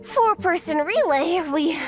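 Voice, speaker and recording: A woman's voice: very high-pitched, animated, unhurried, a little mellow. A woman voicing a shy, earnest young girl trainee in a video game, hesitant and stammering. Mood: flustered. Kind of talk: video game cutscene voice acting.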